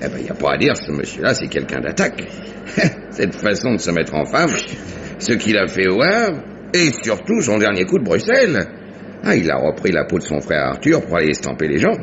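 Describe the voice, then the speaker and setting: Shrewd amateur sleuth, vintage radio drama. Very low voice, amused and measured, on a hissy dull recording. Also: male voice, wildly swooping pitch. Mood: amused, explanatory. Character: shrewd, amused male amateur detective, modest about his deductions. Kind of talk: old French radio drama acting.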